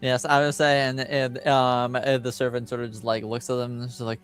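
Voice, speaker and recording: A man chatting casually and wryly during a tabletop roleplaying game, in a somewhat halting way. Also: mid-range voice, level tone, smooth, soft background music. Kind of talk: casual improvised game chat.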